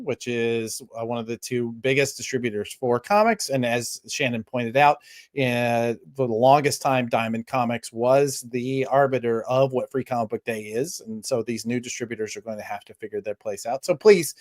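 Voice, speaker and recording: Male host, medium-pitched, conversational and deferential, live online panel talk. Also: moderate pace.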